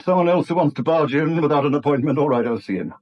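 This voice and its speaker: An actor playing a testy, self-important English acting bank manager in a 1970s radio drama, brusque and huffy. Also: male voice, a little high, expressive, fast talker. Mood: irritable. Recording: dull vintage radio recording.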